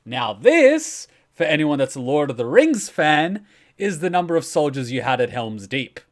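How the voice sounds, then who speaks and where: A man's voice: on the high side, sing-song, moderate pace. A man hosting a game reaction video, explaining step by step in casual, conversational commentary.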